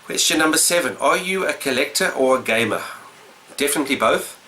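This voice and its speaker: A middle-aged South African man with a South African accent, casually answering gaming questions in a relaxed, rambling way with lots of uhs and ums. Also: on the high side, a little fast, a little hiss or hum. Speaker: gaming YouTuber.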